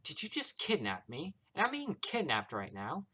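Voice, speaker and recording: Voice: medium pitch, huge pitch swings, moderate pace, fairly bright tone, breathy edge, pitched above the performer's own voice, exaggerated voice. A man voicing a furious, fed-up and defiant woman in a story, snapping sharply and emphatically. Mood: indignant. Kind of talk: character voice in narrated story.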